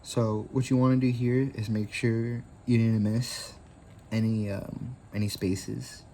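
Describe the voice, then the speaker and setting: A man's voice: medium pitch, flat delivery, slow pace, warm tone, slight background noise. A man in his twenties hosting a casual craft tutorial, rambling easily with lots of fillers. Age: 20s.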